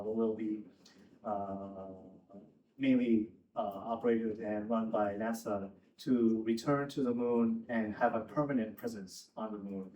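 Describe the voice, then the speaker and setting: A man's voice: fairly low pitch, mellow. A Japanese man introducing himself on a panel, talking in a friendly way with many fillers and the odd laugh. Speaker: panelist, sales manager. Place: event space with audience. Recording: roomy event space, distant mic.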